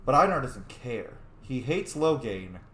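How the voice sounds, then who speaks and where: A young man commenting casually as he plays, joking and playful, at a middle pitch. His voice rings in an echoey room. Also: sing-song, measured pace, fairly bright tone. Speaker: gaming channel host.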